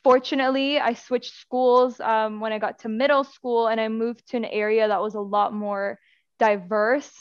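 An earnest young woman from Afghanistan tells her story in long, winding sentences full of restarts. Her voice sits a little high and sounds smooth and clear. The recording is dry and a touch dull. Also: steady tone, normal speed, no echo. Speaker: guest from a youth education nonprofit. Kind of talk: casual interview chat.

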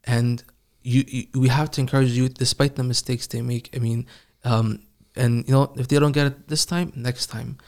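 Man, mid pitch, flat delivery, calm reflective guest, interview. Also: moderate pace, hardly any pauses. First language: not Arabic. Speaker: Quran reciter, guest. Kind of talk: casual interview.

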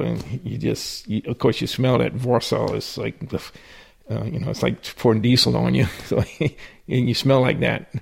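An older Cajun man with a gravelly, creaky voice. He recalls his life in a flat, even tone, rambling and restarting, with a folksy chuckle now and then. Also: medium pitch. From southern Louisiana. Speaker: interviewee. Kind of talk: personal storytelling.

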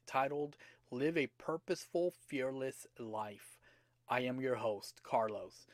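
A man hosting a motivational podcast, his voice a little hoarse from a cold, earnest and repeating rhetorical questions to the listener. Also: medium pitch, no echo. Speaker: podcast host.

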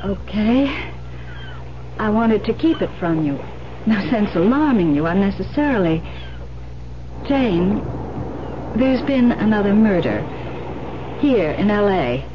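A woman detective, urgent and persuasive yet holding something back. Her voice sits at a middle pitch, acted in vintage radio drama style. The old recording is muffled and clearly noisy. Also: sing-song, takes it slowly, long pauses. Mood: guarded, hesitant.

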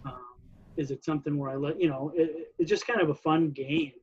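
A man's voice: fairly high pitch, steady tone, fairly quick, darkish tone, husky, highs cut off. A man, a coach, talking casually and reflectively, with many "you know"s. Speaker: wrestling coach. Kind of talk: casual conversation.

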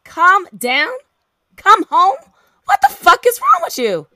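A woman's voice: mid-range voice, expressive, moderate pace, no echo, studio quality. An actress with an American accent playing a fed-up, defiant woman in an audio drama, blunt and emphatic. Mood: furious, defiant. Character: fed-up, fiercely defiant young woman facing abusive ex.

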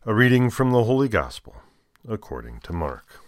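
An American man serving as a deacon, reading scripture and adding folksy, deliberate commentary. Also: lowish voice, very animated, dry recording, clean studio sound. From United States.

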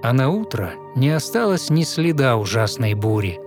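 A man narrating a fairy tale in verse for an audio play, with measured, rhythmic recitation. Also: mid-range voice, very animated, soft background music.

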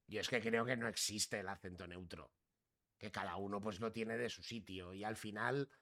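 A man's voice: lowish voice, normal speed. A man from Catalonia talking casually on a podcast, rambling and tagging his sentences with questions for the listener. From Catalonia, Spain (Girona). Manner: relaxed, rambling, peppers speech with "¿sabes?" and "tío", swears casually. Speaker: podcast conversation participant. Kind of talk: casual chat.

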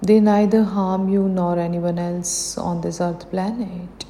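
Woman, Indian accent, mellow low-ish voice, meditation teacher, soothing short phrases, long reverb. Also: level tone, slow. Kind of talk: guided meditation narration. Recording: long hall reverb, faint background noise.

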